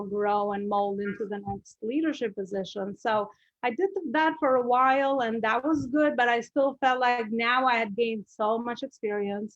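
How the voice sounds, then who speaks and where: A woman's voice: fairly high pitch, dry recording, muffled recording. A middle-aged woman with an Albanian accent, a business coach telling her story as a podcast guest, chatty with many fillers and restarts.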